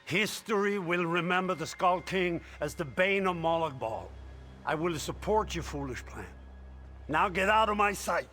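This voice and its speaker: A blunt barbarian warrior king for a fantasy game. He is gruff and contemptuous in a fairly high male register, with some noise behind him. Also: normal speed, pushed and bright. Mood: furious, boastful. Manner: gruff, contemptuous, booming boasts. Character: hot-tempered, blunt, proud warrior king of northern barbarians. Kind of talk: fantasy game dialogue acting.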